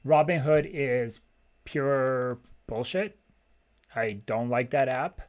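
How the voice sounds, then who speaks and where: A man's voice: mid-range voice, slow pace, darkish tone, highs cut off. A man hosting a livestream as a macroeconomist, giving blunt, opinionated casual commentary and thinking aloud in short fragments. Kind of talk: casual live financial commentary, answering viewer questions.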